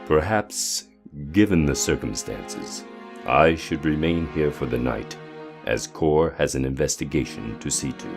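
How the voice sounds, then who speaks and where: A man's voice: a bass voice, unhurried, exaggerated voice. A man playing a loyal, concerned royal guardsman in an audio drama, speaking formally and respectfully. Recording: music clearly behind the voice.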